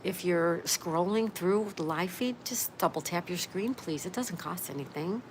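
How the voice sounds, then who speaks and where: Low-voiced woman in her sixties, noisy background. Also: normal speed. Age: 60s. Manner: chatty, greets viewers and repeats phrases. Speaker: livestream host. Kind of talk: casual live chat, reading viewer comments aloud.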